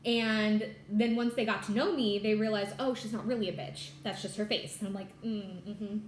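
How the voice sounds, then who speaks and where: A woman's voice: medium pitch, fairly even intonation, moderate pace, echoey room, a little hiss or hum, rough recording. A young adult woman from California, chatting casually to camera as the host of a stitching vlog, bubbly and full of fillers like "like" and "y'all". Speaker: Flosstube host.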